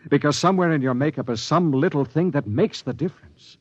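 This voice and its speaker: An actor playing a driven expedition captain who narrates a 1950s radio drama in an earnest, urgent voice. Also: male voice, on the high side, fairly quick, no room sound, natural voice. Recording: dull vintage radio with music.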